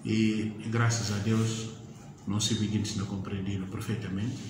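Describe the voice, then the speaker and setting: A middle-aged man speaking as spokesman at a press conference, formal and measured, stressing words slowly. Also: fairly low pitch, little pitch movement. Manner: measured, formal, slow and emphatic, opens with Arabic blessings. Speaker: spokesman of a moon-sighting commission. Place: yellow-walled meeting room, indoors. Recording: echoey room with background noise.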